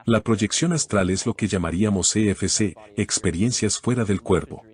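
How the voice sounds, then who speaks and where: A man from Canada speaks as an interview guest, his voice sitting very low. He lays out his answers in a calm, methodical way, defining terms step by step. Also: normal speed.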